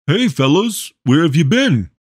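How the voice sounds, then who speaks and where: Narrator doing a big young guy, whiny and innocent, pitched up high. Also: big pitch swings, measured pace, slightly rough, no echo, clean studio sound, full character voice.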